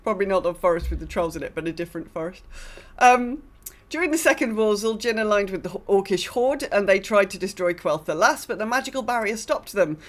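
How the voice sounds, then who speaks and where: A woman's voice: mid-range voice, lively intonation, brisk. A woman co-hosting a podcast, reading a game lore recap in a casual way with dry, sardonic asides. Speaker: podcast co-host.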